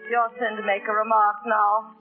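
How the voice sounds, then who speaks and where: A tinny old radio recording of a woman acting a clever young gentlewoman. She is arch and sardonic, proud and quick with a cutting reply, in the theatrical manner of 1940s radio drama. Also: mid-range voice, completely flat delivery, faint music behind, lightly acted. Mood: icily sarcastic. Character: witty, proud, sharp-tongued young gentlewoman.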